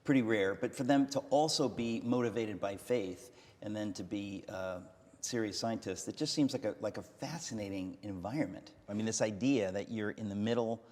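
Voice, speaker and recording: A man's voice: on the low side, normal speed, darkish tone, in a large hall. A man hosting an interview, asking questions with frequent restarts and a playful, teasing humor. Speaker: interviewer, host. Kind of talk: conversational interview.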